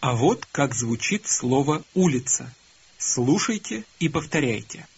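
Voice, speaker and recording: A man narrating a recorded language course, calmly prompting learners to repeat and answer. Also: mid-range voice, measured pace, vocal fry, slight background noise. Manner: calm, prompting, instructive. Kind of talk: scripted instruction prompting learners. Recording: dull lo-fi course audio.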